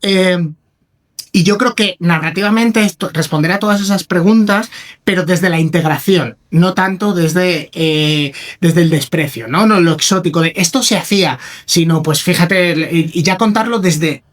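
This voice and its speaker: A man's voice: sits high, moderate pace, a bit bright. A man from Madrid, a writer chatting casually and rambling through his thoughts with many restarts. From Madrid, Spain. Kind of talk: casual conversation.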